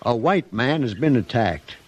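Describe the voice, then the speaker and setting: A man's voice: mid-range voice, expressive, measured pace. A man playing a belligerent, blustering frontier settler, scornful and hot-headed, in a 1950s radio western. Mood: angry, scornful. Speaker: supporting actor in a western radio drama.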